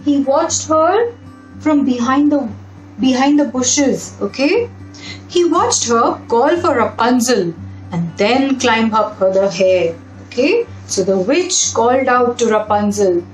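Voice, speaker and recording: A woman's voice: a little high, expressive, slow pace. A woman reading a children's story aloud, adding simple explanations and checking in with young listeners through questions. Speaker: storybook reader and narrator for children. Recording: roomy echo with background music.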